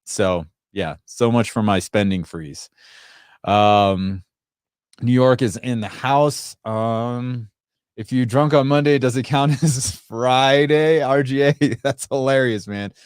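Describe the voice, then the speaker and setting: A man's voice: medium pitch, slow pace, smooth, no echo. Man, rambling livestream chat, very dry close mic. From Sri Lanka. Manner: chatty, rambling, many fillers and restarts. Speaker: tech livestream host. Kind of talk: casual livestream chat reading comments.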